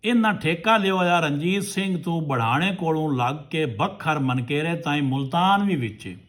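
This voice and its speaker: A man's voice: on the high side, lively intonation, moderate pace, a bit bright. A man telling a folk tale to listeners as a storyteller, in short rustic phrases.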